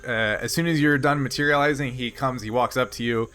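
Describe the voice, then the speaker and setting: A man working as game master, narrating a tabletop game off the cuff in a halting, conversational way. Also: medium pitch, fairly bright tone.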